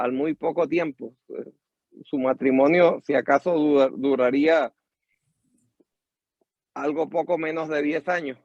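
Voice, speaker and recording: Older Venezuelan guest, gravel in a higher voice, slow reflective storyteller. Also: male voice, no echo, dull audio. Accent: Venezuelan Spanish. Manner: measured, reflective storytelling with pauses and restarts. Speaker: guest musician and teacher. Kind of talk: interview, storytelling.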